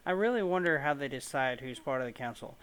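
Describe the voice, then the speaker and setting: A man's voice: medium pitch, lively intonation, quick pace, fairly bright tone, smooth. A man giving casual, rambling let's play commentary as he hosts a gaming video, informal in his wording. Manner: rambling, informal, mild swearing.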